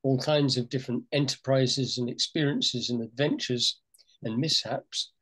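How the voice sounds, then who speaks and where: An older man speaking on a video call from a room at home, reflective and unhurried, with frequent pauses and fillers. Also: medium pitch, steady tone, a bit bright. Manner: thoughtful, hesitant, many fillers. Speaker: program co-leader. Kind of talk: reflective webinar talk.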